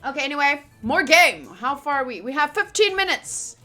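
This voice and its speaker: A woman's voice: high voice, moderate pace. A woman streamer reading visual novel text aloud with casual, playful commentary. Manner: animated, playful, exclaiming. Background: game music.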